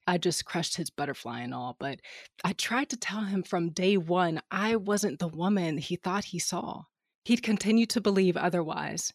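Brash, low-voiced starship captain. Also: female voice, no room sound, clean studio sound. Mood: exasperated. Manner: wry, flippant, sardonic. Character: brash, cynical female smuggler starship captain, flippant and ruthless. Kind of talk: first-person audio drama narration and dialogue.

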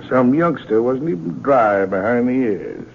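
A man's voice: expressive, a little slow, vocal fry, a little hiss or hum. A man acting a mocking, menacing American gunslinger in a 1950s radio western. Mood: taunting, cool. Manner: mocking, taunting. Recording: tinny, rumbly 1950s radio recording.

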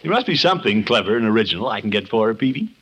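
An actor playing a pompous, boastful middle-aged suitor in a 1950s radio comedy, with a blustery, chuckling, self-satisfied manner. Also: male voice, on the low side, very animated, racing, fairly bright tone. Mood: impatient. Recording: noisy vintage 1950s radio recording.

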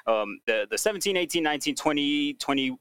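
A man speaking as a podcast guest in casual conversation, with a deeper voice and clear enunciation. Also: lively intonation, brisk, a bit bright, dry recording, studio quality.

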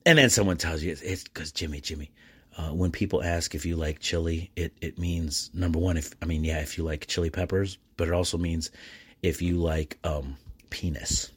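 A middle-aged man with an American accent and a fairly low voice talks casually on a solo podcast. He is chummy and animated, slipping into quoted exchanges as he tells his stories. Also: normal speed, breathy edge. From United States. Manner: animated, self-describedly chummy and jokey. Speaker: podcast host.

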